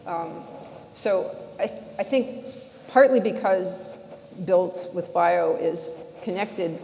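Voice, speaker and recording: A woman's voice: on the low side, vocal fry, dark tone. A woman speaking conversationally on a panel, feeling her way through her points with qualified phrasing. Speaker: panel speaker, biotech co-founder. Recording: echoey hall, background noise.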